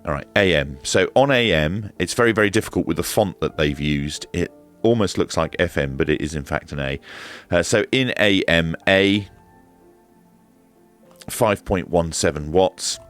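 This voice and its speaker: A man with an extremely low, deep voice presents a hands-on gear demonstration. He explains things in a measured, matter-of-fact way, with faint music in the mix. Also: a bit bright. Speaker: presenter of a radio equipment review. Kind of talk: hands-on demonstration and review commentary.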